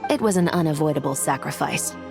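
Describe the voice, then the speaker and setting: An actress playing a cool, confident patrol captain in an anime fantasy game, calm, deadpan and matter-of-fact. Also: female voice, sits low, fast, music in the background. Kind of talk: video game voice acting.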